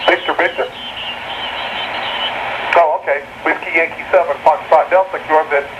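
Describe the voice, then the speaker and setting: A man's voice: fairly high pitch, steady tone. A man operating amateur radio, trading clipped, repetitive call-sign exchanges over a shortwave single-sideband radio link. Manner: repetitive, brisk radio procedure. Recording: very noisy, muffled shortwave radio reception. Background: radio static.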